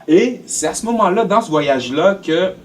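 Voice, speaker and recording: Expressive Quebec man with widely swinging pitch. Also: normal speed, distant mic. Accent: Quebec French. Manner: expressive, acts out quoted remarks. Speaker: documentary interviewee. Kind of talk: personal storytelling in an interview. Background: faint music.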